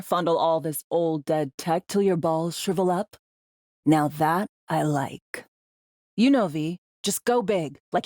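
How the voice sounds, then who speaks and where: A woman's voice: on the low side, darkish tone, no echo, studio quality. A woman playing a brash, foul-mouthed, world-weary female spy in a video game, talking in clipped, slangy, sardonic lines. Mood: jaded, wisecracking. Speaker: undercover field agent in a sci-fi game. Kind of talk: video game character dialogue.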